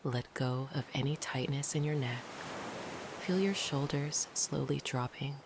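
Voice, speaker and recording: Soft, breathy meditation guide over noisy ambience. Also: medium pitch. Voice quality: soft, breathy, often whispered. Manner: gentle, calm, unhurried with long pauses. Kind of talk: slow, soothing guided meditation narration. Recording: noisy, ambient sound behind the voice.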